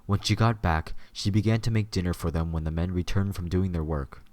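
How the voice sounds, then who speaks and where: A man narrates a scripted story in a low-pitched voice. The recording is close and dry, with hardly any room sound. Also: a little fast, darkish tone, no room sound.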